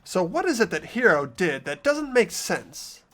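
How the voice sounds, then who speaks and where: A man's voice: high voice, big pitch swings, unhurried, fairly bright tone, faint music behind, exaggerated voice. A man voicing an earnest young student who presses with probing, incredulous questions.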